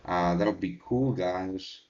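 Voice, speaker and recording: A man talks slowly through a casual market livestream, reading chat messages and answering them directly. His voice sits fairly low, and he fills his pauses with lots of ums and uhs. The audio is dull and lo-fi. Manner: relaxed, halting, many fillers. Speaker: trading show host.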